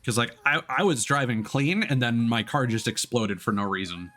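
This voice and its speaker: Male game streamer, mid-low natural voice, grumbling and cursing through live play commentary, prominent music and engine noise behind. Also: moderate pace, a bit bright. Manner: sweary, grumbling. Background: racing game music and engine sounds.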